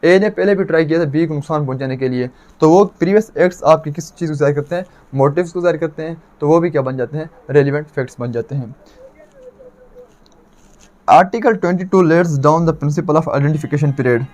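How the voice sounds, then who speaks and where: A man's voice: fairly high pitch, moderate pace, darkish tone. A man with a Pakistani accent lecturing on law in a didactic way, repeating his points and posing questions that he answers himself. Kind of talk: explanatory exam lecture.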